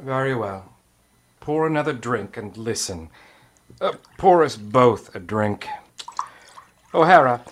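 An actor playing a cagey, formal police commissioner in an old radio crime drama, with measured, clipped delivery. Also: male voice, mid-range voice, fairly bright tone. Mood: dry, guarded. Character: urbane, cagey British colonial police commissioner.

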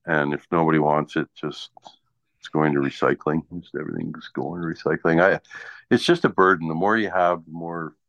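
A man's voice: extremely low pitch, steady tone, moderate pace, vocal fry, a bit bright. A man chatting casually in a blunt, grumbling, sweary way. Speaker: small business owner and online seller. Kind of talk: casual chat. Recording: dull lo-fi sound in echoey room.

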